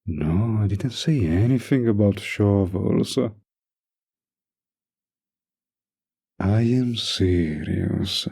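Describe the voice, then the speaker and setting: A man playing a dominant young mafia boss boyfriend, menacing yet doting, with a gravelly, husky voice in a scripted romantic audio roleplay. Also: on the low side, animated, slow, a little mellow, exaggerated voice. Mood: cold, menacing. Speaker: voice actor.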